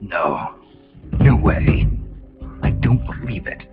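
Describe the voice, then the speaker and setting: An actor playing a gruff, defiant captive soldier hero in a dramatic video game scene. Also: male voice, lowish voice, sing-song, slow pace. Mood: disbelieving. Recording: muffled compressed game audio under loud music.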